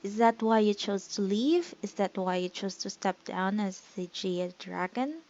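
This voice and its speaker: A woman's voice: medium pitch, a little slow, darkish tone. A woman playing a game, reading dialogue aloud and reacting to it. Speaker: gamer doing a playthrough. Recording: dull lo-fi mic, faint noise.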